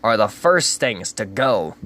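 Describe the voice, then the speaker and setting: A man reading aloud and voicing a sly, scheming, grumpy male creature who hates Christmas, in a low, hushed, oily manner. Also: big pitch swings, unhurried.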